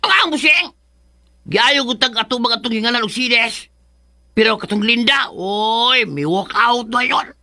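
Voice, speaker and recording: A cowardly Filipino sidekick for a comic radio drama. The voice is shrill and very sharp, far up in pitch. It whines and exclaims in an easily frightened way. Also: male voice, measured pace, exaggerated voice. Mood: flustered. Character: timid, panicky male sidekick, easily scared.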